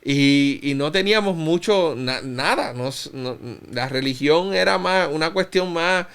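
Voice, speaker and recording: A man in his thirties from Cuba, speaking Spanish with a Puerto Rican accent, tells his story as a guest in a slow, reflective and earnest way, often restarting his phrases. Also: a little high, a bit bright, clean tone. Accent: Puerto Rican Spanish, faint Cuban trace. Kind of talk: personal testimony in an interview.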